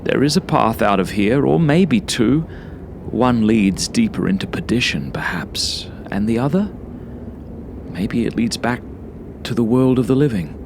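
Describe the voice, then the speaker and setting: A man narrating a first-person horror story like a journal, brooding and sometimes hushed, in short halting phrases. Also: mid-range voice, lively intonation, creaky, background noise. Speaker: author reading own horror story.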